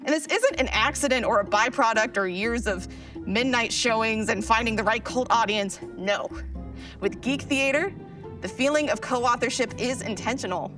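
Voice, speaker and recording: A woman's voice: a little high, moderate pace, fairly bright tone, faint music behind, close to an everyday voice. A woman playing a geeky, chatty modern character in a live audio drama, explaining things in a slangy, casual way. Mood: keen, explanatory.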